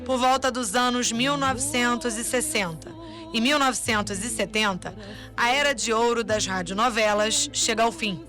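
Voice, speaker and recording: Woman, scripted documentary narration, measured, fairly high voice, clear music underneath.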